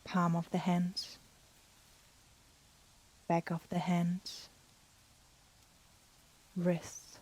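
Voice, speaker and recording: A woman guiding a yoga nidra meditation in a soft, breathy, soothing manner with long pauses. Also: lowish voice, monotone. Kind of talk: guided meditation.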